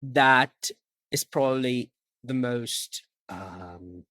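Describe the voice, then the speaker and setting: A man's voice: mid-range voice, drawn-out words, a bit bright, dry recording. A young Brazilian man with a British-leaning accent, co-hosting a podcast and explaining points steadily, with some hesitant fillers. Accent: Brazilian, British-leaning English. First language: Portuguese. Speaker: podcast co-host. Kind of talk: casual podcast chat in English.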